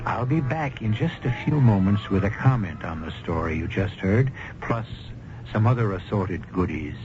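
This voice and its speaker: A man hosting and narrating a radio mystery in a measured, wry, theatrical showman's manner. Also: lowish voice, animated, noisy background. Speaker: host and narrator. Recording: dull lo-fi old radio broadcast. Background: clear background music.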